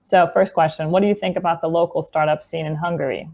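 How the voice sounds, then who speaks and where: A woman hosting a conference session, thanking the speaker and reading audience questions in a friendly, brisk way. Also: deep voice, fairly even intonation. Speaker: conference host, moderator. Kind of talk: moderating a Q&A. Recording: slightly dull, muffled audio.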